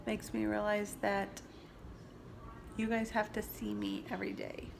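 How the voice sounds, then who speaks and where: A woman in her forties, stuffed up from allergies, talking softly and confidingly in a personal vlog, sometimes dropping to near-whispers. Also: mid-range voice, flat delivery, unhurried, gravelly, background noise. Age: 40s. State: congested from allergies. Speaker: vlogger. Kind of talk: reflective personal vlog talk. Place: sitting indoors at home.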